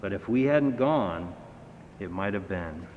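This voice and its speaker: A man's voice: animated, unhurried. A man voicing a plucky, determined old firefighter from a children's storybook in a hearty way. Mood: steady, modest. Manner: hearty, rallying storybook delivery. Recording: echoey hall, dull sound, faint rumbling noise.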